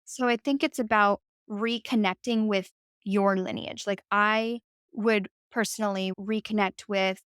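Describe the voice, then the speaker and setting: A woman's voice: mid-range voice, steady tone, measured pace, a bit bright, clean tone, no echo, clean studio sound. A woman speaking as a podcast guest, talking in a reflective way with lots of "like" and "right?". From United States. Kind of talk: conversational interview.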